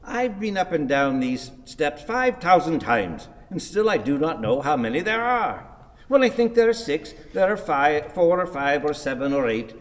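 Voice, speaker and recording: An actor playing an irascible, sardonic old blind husband in a radio play, grumbling and declaiming theatrically. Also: male voice, on the high side, big pitch swings, normal speed. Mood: querulous. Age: older. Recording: reverberant hall.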